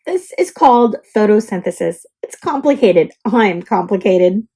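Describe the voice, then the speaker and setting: A woman's voice: mid-range voice, animated, unhurried, dark tone, husky. A woman voicing a perky, dramatic young oak tree telling its storybook diary, with theatrical exclamations. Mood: proud, giddy. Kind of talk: storybook diary read-aloud.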